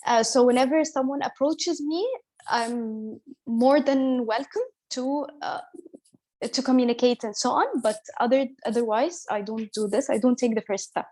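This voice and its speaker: A woman's voice: fairly high pitch, moderate pace, dark tone, low-quality recording. A woman, speaking candidly and hesitantly as an interview guest. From Egypt. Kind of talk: casual interview.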